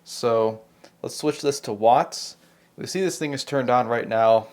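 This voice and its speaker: A man's voice: medium pitch, measured pace, a little mellow. An American man giving an unscripted, hands-on technical demonstration, talking casually and thinking aloud as he works through it as host. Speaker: host of a repair video channel.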